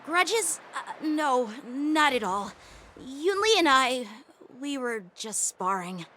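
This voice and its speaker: A woman's voice: sits high, sing-song, pushed and bright, a little hiss or hum, exaggerated voice. Woman voicing an earnest young boy swordsman. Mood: defensive. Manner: earnest and quick to protest. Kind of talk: video game voice acting. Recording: game cutscene with music behind.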